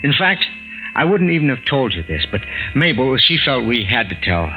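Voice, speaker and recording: An actor playing a stunned, excitable everyman husband in a 1940s radio drama, stammering with bewilderment. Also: medium pitch, normal speed, music in the background, close to an everyday voice. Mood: confiding. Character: excitable, stunned everyman husband telling his incredible story. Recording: noisy vintage radio recording.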